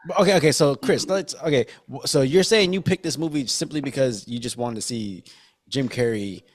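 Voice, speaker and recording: A man's voice: mid-range voice, fairly quick. A man hosting a casual film podcast, steering the chat with friends in a slangy, conversational way. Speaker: podcast host steering the discussion.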